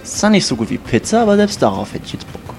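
Young man, audio drama, sarcastic, grumbling, animated intonation, clear music bed. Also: high-pitched, quick pace. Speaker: performer playing himself in audio drama. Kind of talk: scripted comic dialogue, audio drama.

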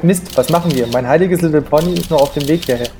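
A man playing an excitable, panicky young sidekick in an amateur audio drama. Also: fairly high pitch, fast, noisy background, natural voice.

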